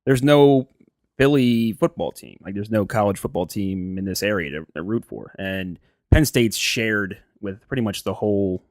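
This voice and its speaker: A man's voice: fairly low pitch, moderate pace, dry recording, studio quality. An American man co-hosting a casual, conversational sports chat, sharing his opinions freely. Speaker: sports podcast co-host.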